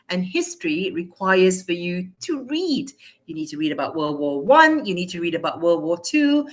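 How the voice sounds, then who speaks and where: A woman from Malaysia giving a chatty, lively webinar talk to teachers, asking and answering her own questions. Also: fairly low pitch. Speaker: teacher trainer.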